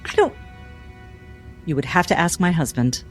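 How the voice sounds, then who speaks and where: A flustered, defensive doctor, halting speech. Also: female voice, lowish voice, big pitch swings, soft background music. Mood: shaken. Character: guarded, flustered woman doctor, defensive under questioning. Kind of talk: 1960s cinematic drama acting.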